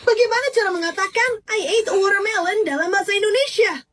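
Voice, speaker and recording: A woman's voice: very high-pitched, fast, pushed and bright. A woman voicing a bossy, mocking language-learning app that scolds and insults its student.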